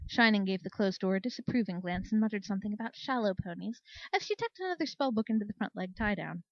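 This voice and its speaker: A woman narrating a story in an expressive read-aloud style. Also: mid-range voice, fast, dry recording, highs cut off. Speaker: story reader. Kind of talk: expressive audiobook-style narration of fan fiction.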